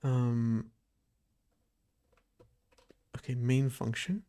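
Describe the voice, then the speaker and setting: A man chats casually on a live coding stream, his voice gravelly with creak at the ends of phrases. He rambles and thinks aloud, drifting between his code and the viewers' messages. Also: mid-range voice, level tone, drawn-out words, dark tone. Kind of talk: casual livestream chat while coding.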